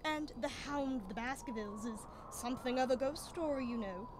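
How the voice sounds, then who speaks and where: A woman's voice: high voice, a little fast, noisy background, close to an everyday voice. A young woman playing Doctor Watson, a genial, chatty male storyteller, in a radio drama. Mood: teasing, genial. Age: young adult. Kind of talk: 1930s-style radio drama acting.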